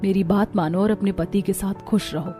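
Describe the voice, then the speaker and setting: A woman playing a gentle, repentant and devoted daughter-in-law in a family cartoon, humble and pleading. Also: mid-range voice, steady tone, moderate pace, faint music behind, close to an everyday voice. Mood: earnest pleading.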